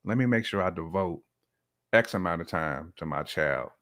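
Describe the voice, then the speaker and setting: Low-voiced man in casual, reflective discussion. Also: animated, moderate pace, fairly bright tone. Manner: reflective, explanatory, colloquial. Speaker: podcast guest.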